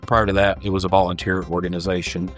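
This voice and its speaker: A man's voice: sits low, flat delivery, fast talker. A man speaking as a fire department official in an interview testimonial, explaining things in a plainspoken, matter-of-fact way. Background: background music.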